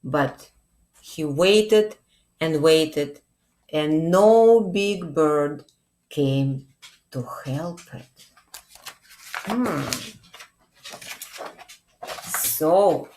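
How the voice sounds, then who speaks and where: Very slow-paced woman reading to children. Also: lowish voice, poor audio. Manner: deliberate, expressive, frequent check-in questions. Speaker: children's librarian and storytime host. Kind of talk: read-aloud storytime addressed to young children. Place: small indoor room, plain wall.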